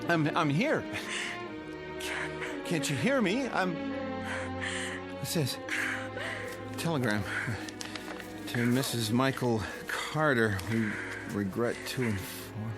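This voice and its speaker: An actor playing a bewildered, troubled spaceship crewman in a radio drama, with halting, questioning delivery. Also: mid-range voice, expressive. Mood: frantic, alarmed. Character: anxious, bewildered male spaceship crewman, doubting and haunted. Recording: loud dramatic music behind the voice.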